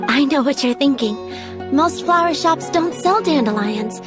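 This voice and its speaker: An actress playing a sweet, dreamy and easily flustered young flower seller in a video game. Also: female voice, high voice, lively intonation, unhurried, music in the background. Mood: eager, dreamy. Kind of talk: video game character acting.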